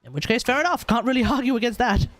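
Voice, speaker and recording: A man's voice: very high-pitched, animated, quick pace, a bit bright. An Australian man giving casual, rambling game commentary on a livestream, often talking to himself.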